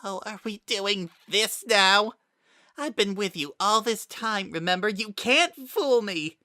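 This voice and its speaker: High, bitter, wounded child spirit. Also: slow, sharp tone, dry recording, clean studio sound. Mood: bitter taunting. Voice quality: high, strained, breaking voice. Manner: taunting and wounded. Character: bitter, mocking, anguished lost child spirit.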